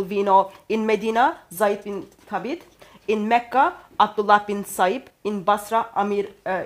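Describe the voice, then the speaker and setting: A young woman from Turkey speaking English with a Turkish accent, talking carefully with hesitations in a study. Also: medium pitch. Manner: careful with hesitations, non-native phrasing. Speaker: co-host. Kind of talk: conversational discussion. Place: indoor study with bookshelves.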